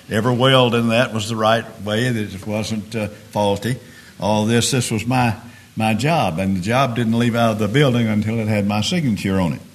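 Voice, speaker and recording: A man preaches in a hoarse, raspy voice. He talks plainly, middle-aged, leaning on everyday examples and often restarting his sentences. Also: medium pitch. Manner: plain-spoken, homespun examples, frequent restarts. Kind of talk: sermon.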